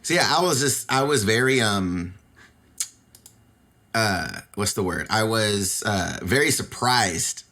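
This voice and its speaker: A man in his thirties hosting a podcast, asking questions in a relaxed, slangy way and reacting eagerly. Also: fairly low pitch, slow pace. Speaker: podcast host. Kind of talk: casual podcast interview.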